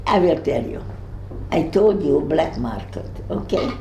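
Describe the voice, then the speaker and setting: An older woman with a Hungarian accent, recounting memories to young visitors, halting and searching for words. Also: sits low, big pitch swings, vocal fry, warm tone, roomy sound, slight background noise. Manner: halting, searches for words, many fillers and restarts. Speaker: Holocaust survivor speaking to visitors. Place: room in her home.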